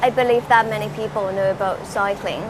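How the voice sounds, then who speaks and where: A woman's voice: medium pitch, fairly even intonation, brisk. Lady tv host asking stuff politely, lots of "you know", noisy background. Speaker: TV show host. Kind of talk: broadcast interview and scripted intro.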